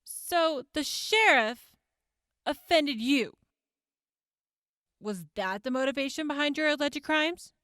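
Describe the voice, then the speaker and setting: A woman's voice: sits high, expressive, slow, long pauses, fairly bright tone, no echo, studio quality, exaggerated voice. An actress playing a skeptical woman prosecutor who questions a defendant in a probing way. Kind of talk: audio drama acting.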